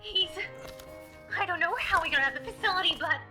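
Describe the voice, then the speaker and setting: A woman's voice: very high voice, fairly quick, pushed and bright, faint music behind, full character voice. A woman playing a frantic, apologetic young secretary in an audio drama, flustered and stammering. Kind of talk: audio drama character acting.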